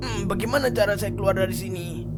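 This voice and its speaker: A man plays a vain, bossy older man in a comic horror cartoon. He teases and blusters, stumbling over little interjections. Clear music plays behind the voice. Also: sits high, quick pace, lightly acted. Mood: cocky, bossy. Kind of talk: comic amateur cartoon voice acting.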